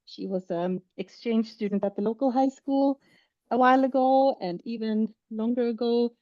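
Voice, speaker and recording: A woman's voice: a little high, normal speed, no room sound. Swedish-born woman presenting, dry muffled sound. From Sweden. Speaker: educator presenting at a symposium. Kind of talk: prepared conference presentation.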